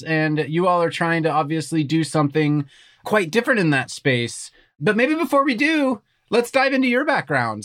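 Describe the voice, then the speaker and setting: A man's voice: on the high side, fairly bright tone. A man hosting an interview podcast, asking curious, reflective questions. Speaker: podcast host.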